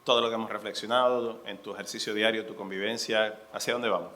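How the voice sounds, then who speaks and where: A man's voice: fairly low pitch, fairly even intonation, fast, bright, forceful tone, slight background noise. A man moderating a panel discussion, asking questions with occasional restarts. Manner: posing questions, hesitant restarts.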